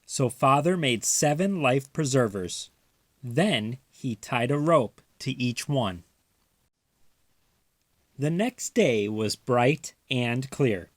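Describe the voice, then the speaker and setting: A man narrating a children's storybook aloud with deliberate phrasing for young listeners. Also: mid-range voice, expressive, no echo. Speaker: storybook reader.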